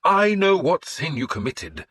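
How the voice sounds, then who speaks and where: Male narrator voicing a nervous storybook priest, high-pitched and slow, husky edge, dry close sound. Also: very animated, a bit bright, no room sound, heavily acted. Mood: scandalized. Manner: slow, hesitant, lifted and pleading. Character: fussy, faint-hearted male village priest.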